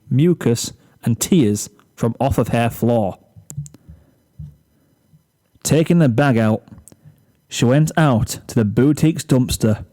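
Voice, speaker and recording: A male narrator reads a scripted comic tale. His timbre is mellow and relaxed, and his delivery takes its time at a slow pace. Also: mid-range voice. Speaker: story narrator.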